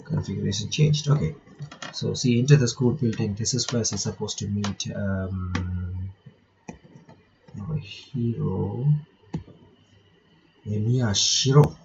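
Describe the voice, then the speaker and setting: A man giving casual playthrough commentary and reading game text aloud, halting and often repeating his words. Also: on the low side, fairly even intonation, creaky, lo-fi sound, background noise. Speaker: gaming reaction host.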